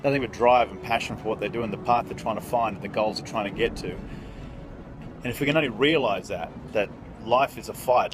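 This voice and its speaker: A man's voice: fairly low pitch, background noise. A man hosting a motivational interview, talking with energy and often restarting his phrases. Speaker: interviewer and host. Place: outdoors at a conference venue.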